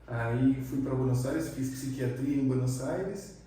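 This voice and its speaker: A man from Argentina, a psychiatrist guest talking in a relaxed, reflective way with many fillers. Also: mid-range voice, unhurried, soft background music. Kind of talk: casual interview chat. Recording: echoey room, distant mic.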